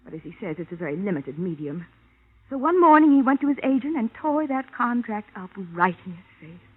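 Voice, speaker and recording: Naive loyal wife, american, high and really animated, defensive, tinny old radio sound. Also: female voice, a little fast, slightly rough. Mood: proudly trusting. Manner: indignant, earnest, emotional. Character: defensive, naively devoted wife of a failed writer. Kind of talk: 1950s radio drama acting. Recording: dull, lo-fi old radio recording.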